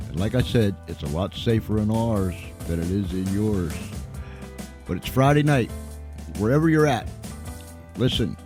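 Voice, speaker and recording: A man's voice: fairly low pitch, warm tone. A man hosting an informal live radio show, speaking to listeners in a folksy, effusive way and repeating phrases for emphasis. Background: music.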